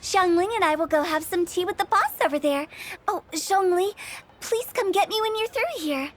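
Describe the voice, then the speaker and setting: A woman's voice: very high-pitched, normal speed. A woman voicing a playful, theatrical young female director in a video game, teasing and chatty. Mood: breezy, sly. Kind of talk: video game cutscene acting. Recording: background music under the voice.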